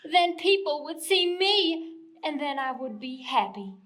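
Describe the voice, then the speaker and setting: A man's voice: extremely high pitch, lively intonation, measured pace, pushed and bright, pitched above the performer's own voice, full character voice. A man voicing a wistful, aging female donkey in a children's story, plaintive and earnest. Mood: wistful longing.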